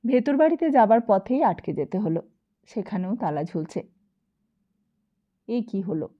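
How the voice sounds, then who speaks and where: A woman narrating a novel as an audiobook in an expressive, dramatic storytelling style. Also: mid-range voice, a little slow, lo-fi sound.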